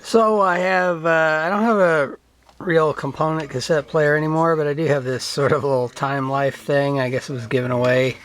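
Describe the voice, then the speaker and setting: A man's voice: a little high, steady tone, moderate pace, fairly bright tone. A man from the United States hosting a casual show-and-tell video, rambling and thinking aloud as he goes. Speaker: video host.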